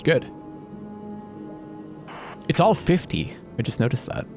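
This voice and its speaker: Animated male gamer, music behind. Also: a little high, slow pace. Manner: expressive, thinks aloud. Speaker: gaming video host. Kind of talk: let's play commentary with dramatic reading aloud of game text.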